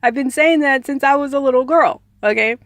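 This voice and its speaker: A woman reading a book aloud as a narrator, in a deliberate manner, with informal personal commentary of her own. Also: high voice, dry recording, studio quality. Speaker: audiobook reader adding own commentary.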